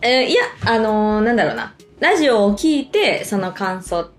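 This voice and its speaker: A playful woman co-hosts a relaxed chat show and reads out listener messages. Her mid-range voice swings freely and breaks into giggles. The sound is roomy, with light music underneath. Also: medium pitch, moderate pace. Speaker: radio show co-host, stage actor. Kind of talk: casual co-hosting chat, reading listener mail.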